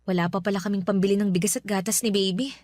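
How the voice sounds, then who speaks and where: A woman's voice: mid-range voice, fairly even intonation, fast talker, darkish tone, no room sound, clean studio sound, close to an everyday voice. A woman playing an exasperated, nagging young wife and mother with a Filipino accent, in an emphatic radio drama style. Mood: worried. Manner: scolding, pleading, emphatic rhetorical questions.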